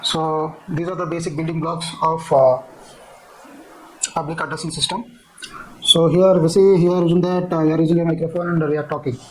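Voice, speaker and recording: A man teaching in an explanatory lecture style, with a halting, repetitive delivery. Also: fairly high pitch, steady tone, a little hiss or hum, rough recording.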